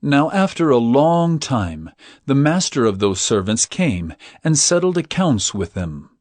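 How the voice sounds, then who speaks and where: A man's voice: medium pitch, sing-song, a little slow, a little mellow, no echo. A man narrating scripture in a scripted, dramatized style.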